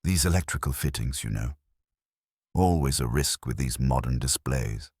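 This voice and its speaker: A man reads a town councillor in a mystery story. The voice is very low, with lively swings. He is uneasy underneath, dismissive and falsely breezy on top. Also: normal speed, breathy edge, no echo, studio quality, full character voice. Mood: forced cheer.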